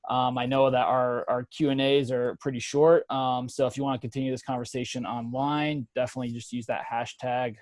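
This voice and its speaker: A relaxed man talks casually over a video call, encouraging his listeners with plenty of ums and uhs. His voice is dry and close, with no room sound. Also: mid-range voice, brisk, few pauses, no echo. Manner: relaxed, filler-heavy, encouraging. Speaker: professor and webinar presenter. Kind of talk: casual webinar talk.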